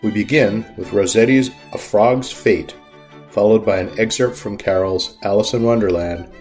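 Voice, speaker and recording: A man hosts with a scripted, introductory narration. His voice is fairly low, and faint violin music plays behind him. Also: moderate pace, a little mellow. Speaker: podcast host.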